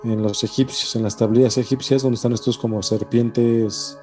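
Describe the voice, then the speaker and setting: A man's voice: medium pitch, fairly even intonation, moderate pace, darkish tone, soft background music. A man with a Mexican accent co-hosting a podcast, talking casually in slang and often tagging questions onto his statements. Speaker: podcast co-host. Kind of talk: casual conspiracy podcast chat.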